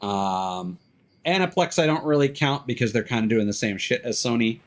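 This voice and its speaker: A man's voice: mid-range voice, expressive, moderate pace. A man live streaming a game, chatting casually with rambling, profane, opinionated commentary. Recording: music behind the voice.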